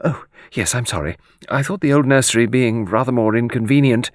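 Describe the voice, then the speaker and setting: A man's voice: mid-range voice, a little fast, no room sound, studio quality, natural voice. A man voicing a meek, sentimental lady's companion with a hesitant, apologetic, murmuring manner in an audiobook. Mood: flustered, apologetic. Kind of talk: audiobook character voice.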